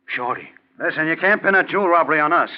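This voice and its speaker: Man playing an agitated, high-pitched American crook. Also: animated, quick pace, lightly acted. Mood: defiant, panicky. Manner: agitated, defiant. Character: cornered, protesting male small-time crook. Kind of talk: 1940s radio crime drama acting. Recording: dull, lo-fi 1940s radio recording.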